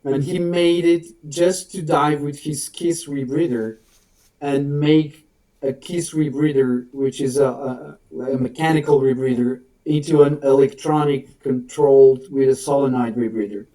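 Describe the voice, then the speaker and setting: A man's voice: mid-range voice, steady tone, measured pace, mellow. A man from Portugal with a Portuguese accent and Portuguese as his first language, giving a friendly, self-deprecating guest presentation and laughing easily. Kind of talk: online presentation. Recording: echoey room, distant from mic.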